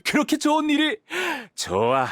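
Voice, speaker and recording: A man's voice: very high voice, wildly swooping pitch, moderate pace, dry recording, exaggerated voice. An actor playing a playful, cheeky young man in a video game, teasing and breezy in manner. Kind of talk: video game dialogue acting.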